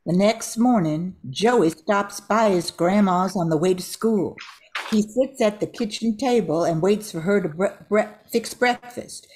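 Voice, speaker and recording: A woman's voice: medium pitch, unhurried, darkish tone, slight background noise, low-quality recording. An older woman reading scripted narration for an amateur radio play.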